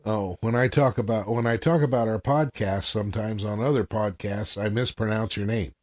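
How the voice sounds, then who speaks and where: Low-voiced easygoing male co-host. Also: fairly even intonation, moderate pace, darkish tone, dry recording, clean studio sound. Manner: easygoing, agreeable. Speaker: podcast co-host. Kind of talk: casual chat.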